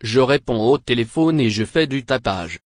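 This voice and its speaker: A man's voice: medium pitch, animated, normal speed, dry recording, studio quality. A man reading short French answers for a language lesson in a plain, scripted way. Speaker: French dialogue reader.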